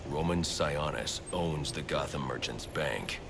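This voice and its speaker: A man playing a grim, brooding masked vigilante detective in a video game, gravelly and terse. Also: rumbling low, a little slow, exaggerated voice. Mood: grim, focused. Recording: loud music behind the voice.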